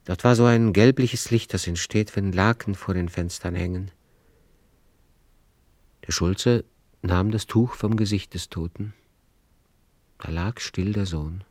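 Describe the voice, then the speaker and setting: A man narrating a literary radio drama in a measured, grave way of storytelling. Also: low-pitched, darkish tone, no room sound.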